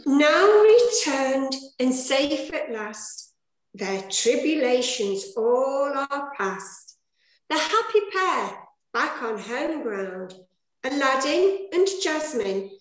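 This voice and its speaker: A woman's voice: high-pitched, very animated, drawn-out words. Woman directing a panto on a video call, roomy sound, really swoopy declaiming. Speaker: director and narrating fairy in an amateur pantomime. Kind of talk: scripted rhyming narration and rehearsal directions. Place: indoor room at home.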